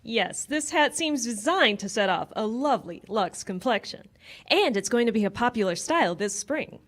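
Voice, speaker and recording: A woman's voice: on the high side, lively intonation, moderate pace, fairly bright tone. Woman as a lilting, gushing 1940s Hollywood reporter. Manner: playful, gushing. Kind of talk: 1940s radio acting. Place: live stage performance at a public library.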